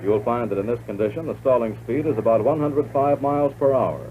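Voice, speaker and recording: An American man reading scripted instructional narration for a 1940s military training film in a measured, instructive way. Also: medium pitch, animated. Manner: measured, instructive, addressing the listener as pilot. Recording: tinny band-limited 1940s film soundtrack, loud noise.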